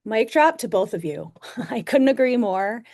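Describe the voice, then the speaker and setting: A woman's voice: fairly high pitch, lively intonation, a little slow, darkish tone. Upbeat woman hosting a panel. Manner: upbeat, effusive. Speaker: host.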